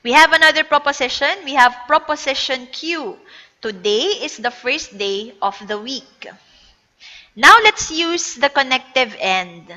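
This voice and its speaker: A Filipino woman lecturing, explaining patiently as she slips between English and Tagalog. Her voice is rather high and bright. The recording carries a long reverb. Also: lively intonation, a little slow, dull audio. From Philippines. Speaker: teacher. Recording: echoey reverb.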